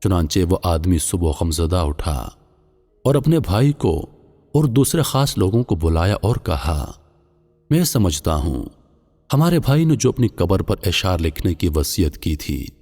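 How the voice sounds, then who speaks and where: A man narrating a scripted religious moral tale as a storyteller, in a measured, solemn manner. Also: deep voice, dark tone. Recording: long hall-like reverb.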